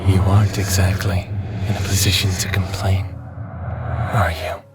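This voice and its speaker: Man voicing a slow, low, sly demon. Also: little pitch movement. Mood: sly, tempting. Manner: slow, deliberate, cryptic. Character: sly ancient male demon bound in a book, menacing tempter. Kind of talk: fantasy audio roleplay acting. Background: music.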